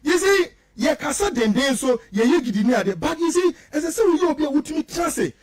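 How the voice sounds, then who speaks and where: Animated high-pitched Ghanaian man. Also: quick pace. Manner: emphatic, repetitive, rhetorical. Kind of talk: animated political commentary mixing two languages.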